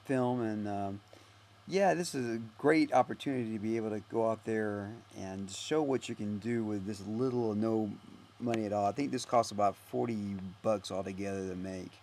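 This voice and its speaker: Creaky-voiced man giving loose film commentary. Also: fairly low pitch, moderate pace, a little mellow, slight background noise. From United States. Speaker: filmmaker and actor giving director's commentary. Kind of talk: casual, unscripted director's commentary.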